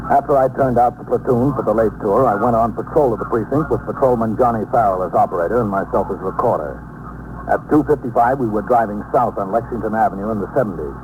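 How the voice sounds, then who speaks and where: A man's voice: fairly low pitch, fast talker, narrow, tinny audio, lightly acted. A man with an American accent playing a businesslike New York police captain in a 1950s radio drama, clipped, authoritative and matter-of-fact. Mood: measured, official. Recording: noisy, hissy 1950s radio recording.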